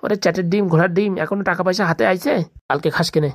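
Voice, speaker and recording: An irritable male crook boss acted by a woman in a cartoon comedy, scolding in a lowish, very quick voice. The slangy grumbling is recorded dry and close. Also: dry recording, natural voice. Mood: exasperated. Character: irritable bossy male thief, scolding, superstitious.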